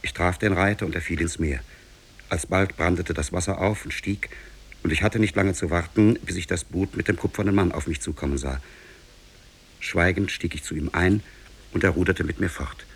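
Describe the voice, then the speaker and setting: Shipwrecked prince, very low husky voice, solemn, measured, declamatory, old radio fairy-tale drama. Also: male voice. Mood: solemn recounting. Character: shipwrecked prince recounting his sea ordeal, grave and solemn. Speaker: storytelling character in a radio play. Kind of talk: 1950s German radio drama, literary fairy-tale narration.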